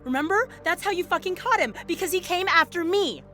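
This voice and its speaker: Woman acting an indignant investigator, high and bright. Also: lively intonation, brisk, soft background music, exaggerated voice. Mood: angry, confronting. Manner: confrontational, profane, emotional. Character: indignant, guarded, wounded female police investigator. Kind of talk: audio drama acting.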